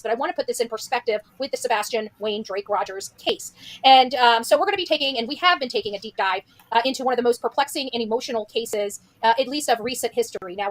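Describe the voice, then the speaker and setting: A woman's voice: a little high, no echo. A woman content creator presenting true crime commentary in an earnest, emphatic way.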